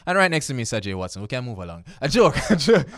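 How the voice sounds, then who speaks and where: A man's voice: medium pitch, very animated, racing, dry recording. A man with a Jamaican accent hosting a casual radio talk show, who keeps repeating himself and asking "you understand me?".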